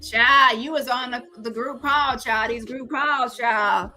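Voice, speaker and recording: A woman's voice: a little high, steady tone, slow pace, over music. A woman hosting a casual live gossip call-in, talking emphatically in blunt, salty slang and repeating phrases for effect. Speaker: host of a live call-in show.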